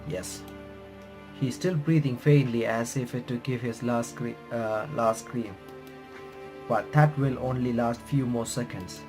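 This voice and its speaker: A man reading visual novel text aloud with bits of his own commentary, halting and correcting himself as he goes. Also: medium pitch, fairly even intonation. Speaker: gaming reaction streamer. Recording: music playing behind the voice. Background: game music.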